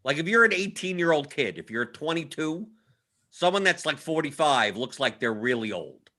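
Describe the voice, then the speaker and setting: Animated, bright-voiced male host in his forties. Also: fairly high pitch, moderate pace. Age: early forties. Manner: self-deprecating mock complaining, repeats himself, tags sentences with "right?". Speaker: show host. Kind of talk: casual livestream sports show banter. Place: indoors at a computer on a split-screen video call.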